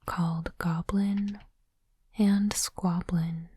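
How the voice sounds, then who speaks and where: A woman whispering in a breathy, unvoiced voice, slow and deliberate, in the style of an ASMR host. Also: fairly low pitch, flat delivery, a little hiss or hum. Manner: slow, deliberate, softly reading aloud. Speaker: host of an ASMR unboxing channel.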